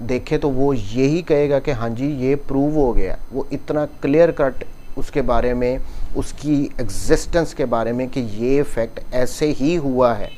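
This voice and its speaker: Male law lecturer, Pakistani accent, medium pitch, unhurried delivery, explanatory, code-switches into English legal terms. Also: darkish tone, slight background noise. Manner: mixes English legal terms and slowly read passages into explanation. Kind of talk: explanatory law lecture, reading statute clauses and explaining them.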